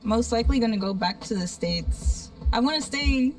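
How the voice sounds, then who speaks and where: A woman from Hawaii studying as a student, answering in a bright, chatty way. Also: fairly high pitch, animated, moderate pace, no room sound, faint music behind. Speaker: interviewee, student. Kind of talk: casual interview answers. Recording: distant, dry sound.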